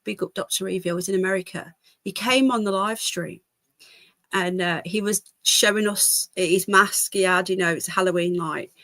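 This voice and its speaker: A woman's voice: lowish voice, fairly even intonation, moderate pace, a bit bright, no room sound. A British woman with a congested voice hosts a livestream in a casual, rambling way, chatting to viewers and easily drifting into asides. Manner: chatty, easily distracted, jumping between asides. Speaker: livestream host.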